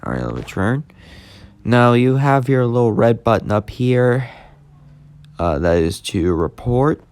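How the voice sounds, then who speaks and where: A man giving a casual, unscripted app tutorial, speaking plainly and haltingly as he hosts the demonstration. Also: medium pitch, very animated, darkish tone. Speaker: YouTube host demonstrating an app.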